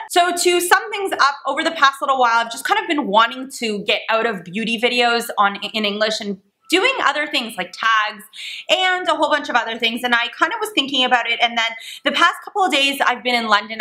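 A young woman from Canada chatting to the camera in a vlog, rambling and animated with lots of fillers. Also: fairly high pitch. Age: young adult. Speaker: vlogger. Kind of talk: casual chat to camera. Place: small dim living room indoors.

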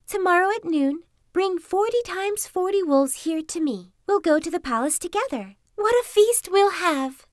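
A woman performs a wily female fox from a folktale. The voice sits high and swings with sugary flattery. It is dry and close, always coaxing. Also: moderate pace, few pauses, no room sound. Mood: enticing. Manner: coaxing, flattering, smooth-tongued. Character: sly, sweet-talking female fox trickster. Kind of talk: children's folktale storytelling.

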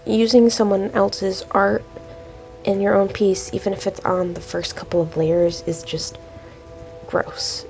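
Stuffed-up young woman, slow and gravelly. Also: mid-range voice, darkish tone, highs cut off. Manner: hesitant, many ums, slow and deliberate. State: stuffed up from a cold. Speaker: art YouTuber. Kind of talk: casual commentary while drawing. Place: at home, at a desk, drawing.

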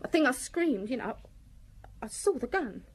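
A gangster's young girlfriend in a radio drama, grieving yet defiant. Her high-pitched voice is blunt, colloquial and quick to take offence. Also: very animated, breathy edge, heavily acted. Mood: shaken, defensive.

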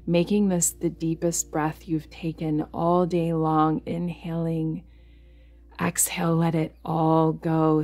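A woman guiding a yoga nidra meditation in a soothing, unhurried way, leaving pauses between instructions. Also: low-pitched, dark tone. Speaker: meditation guide. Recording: music behind the voice. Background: music.

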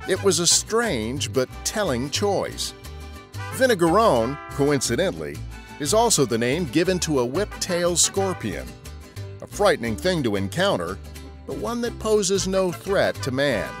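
A man's voice: fairly high pitch, very animated, a little slow, music in the background. A man narrating a scripted history podcast as a storyteller.

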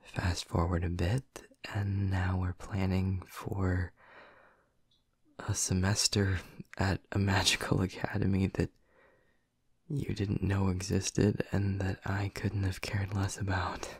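Male actor as a doting vampire boyfriend: low, breathy, slow whispers, teasing and intimate. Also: monotone, no echo, heavily acted. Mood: tender. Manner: teasing and affectionate. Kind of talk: ASMR audio roleplay.

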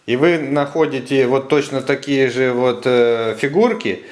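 A man's voice: mid-range voice, measured pace, darkish tone. A man, a father chatting casually with children, giving instructions and prompting them with questions. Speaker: father guiding his children through a craft. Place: living room at home. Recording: roomy indoor home recording.

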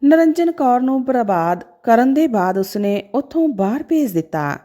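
Mellow, high-voiced woman storyteller. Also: sing-song, normal speed. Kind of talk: scripted story narration.

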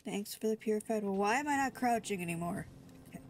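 A woman's voice: medium pitch, expressive, fast talker, a little hiss or hum. A young woman with a stuffy cold, rambling sleepily and full of fillers while playing a video game. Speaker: gaming streamer. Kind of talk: casual let's-play commentary.

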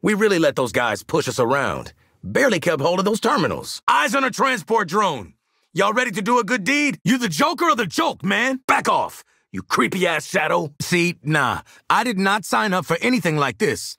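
An actor with an American accent playing a gruff, sardonic assassin in a video game, blunt and slangy, with a raspy voice. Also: male voice, high voice, very animated, moderate pace, sharp tone, dry recording, clean studio sound, heavily acted. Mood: urgent, shouting. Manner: brash, blunt, street-smart slang, barking callouts. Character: gruff, no-nonsense male assassin, sardonic squad sharpshooter. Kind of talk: video game combat voice lines.

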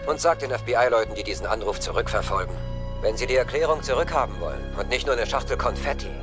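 A man's voice: medium pitch, quick pace, natural voice. Male antagonist acted, mid register, cool and confident, film drama with score. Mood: cool, mocking. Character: smooth, confident male villain making demands. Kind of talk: dubbed film acting. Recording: film soundtrack with music.

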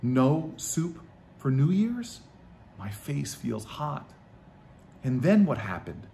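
Man reading as an eager, expressive young girl. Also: medium pitch, takes it slowly, vocal fry, a little hiss or hum, heavily acted. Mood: impatient. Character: eager, curious young granddaughter narrating her family story.

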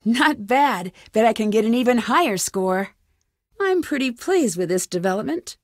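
A woman's voice: fairly high pitch, animated, moderate pace, no room sound, natural voice. Actress as inquisitive young female sleuth for an adventure game: earnest, plucky musing to herself, close dry sound. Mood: focused. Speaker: lead character in a detective adventure game. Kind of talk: scripted game dialogue, thinking aloud.

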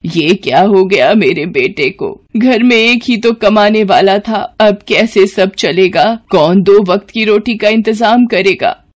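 A woman's voice: mid-range voice, slow pace, hardly any pauses. Stern old mother-in-law done by a woman. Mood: lamenting. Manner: scolding, domineering.

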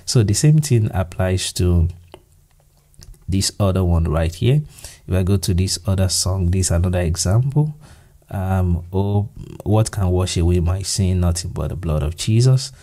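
Deep, gravelly Nigerian man. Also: measured pace. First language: Yoruba. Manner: relaxed, thinking aloud with fillers and restarts. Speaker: software tutorial presenter. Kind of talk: screen-recorded software tutorial. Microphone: voice-over to screen recording.

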